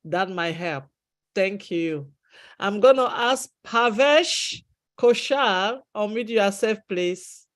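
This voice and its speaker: A woman's voice: mid-range voice, expressive, takes it slowly. A woman moderating an online webinar, giving unscripted instructions to participants. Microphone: online video call.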